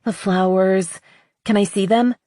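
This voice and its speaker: Woman reading as an excited, gushing bride in her thirties. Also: mid-range voice, unhurried, no echo, studio quality, pitched above the performer's own voice, lightly acted. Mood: eager. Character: Ellen, excited mid-thirties bride, affectionate.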